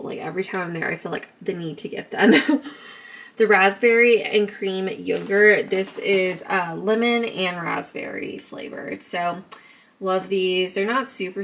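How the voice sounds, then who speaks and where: A woman's voice: medium pitch, vocal fry. A young woman casually chatting through a grocery haul vlog in her home kitchen, upbeat and talkative, recorded on a camera mic. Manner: chatty, upbeat, talks in a sing-song baby voice to her son. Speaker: vlogger.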